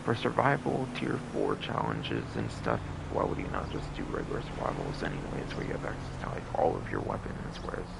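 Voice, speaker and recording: Gravelly low-voiced man streaming games. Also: wildly swooping pitch, fairly quick, few pauses, very noisy. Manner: rambling, repetitive, mild swearing. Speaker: game livestream host. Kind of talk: casual livestream game commentary.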